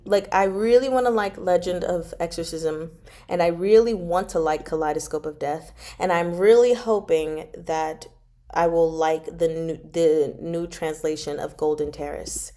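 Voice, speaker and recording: A woman chatting casually as a livestream host, informal and expressive, drawing out words for emphasis as she answers viewers. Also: fairly low pitch, measured pace. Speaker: host of a book club livestream. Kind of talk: casual chat, reading and answering viewer comments.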